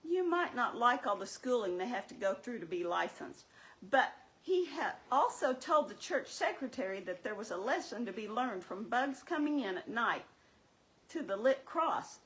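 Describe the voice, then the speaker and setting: High, animated, sensible female puppet played by a woman. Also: normal speed, low-quality recording. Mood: explaining. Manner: explanatory, chiding, wry. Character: Mary, sensible, teasing, scolding female puppet. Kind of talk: scripted church puppet show dialogue.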